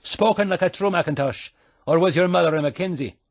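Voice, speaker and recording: A man's voice: high-pitched, a little fast, gravelly, no room sound, voice pushed up. A man voicing a chatty, gossipy male shopkeeper with a put-on Scottish brogue. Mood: teasing. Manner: teasing, gossipy, rolling brogue.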